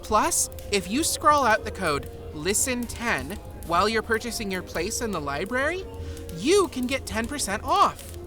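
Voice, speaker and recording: A woman plays a helpful, enthusiastic female shopper in a comic fantasy ad. The voice is fairly high and lively, explaining things brightly over a music bed. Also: a little slow. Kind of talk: scripted comic podcast ad. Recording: music behind the voice.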